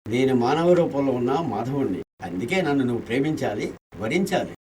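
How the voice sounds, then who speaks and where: An actor playing a boastful, foolish, lecherous villain in a radio play, theatrical and insistent. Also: male voice, mid-range voice, sing-song, quick pace, a little mellow, far from the mic, a little hiss or hum, lightly acted, poor audio. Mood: boastful, taunting. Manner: theatrical, self-important, insistent. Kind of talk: radio play acting in Telugu.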